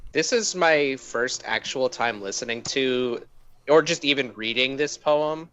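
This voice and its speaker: A man's voice: mid-range voice, unhurried, fairly bright tone. A man co-hosting a podcast in casual, slangy, irreverent chat with some swearing. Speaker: podcast co-host.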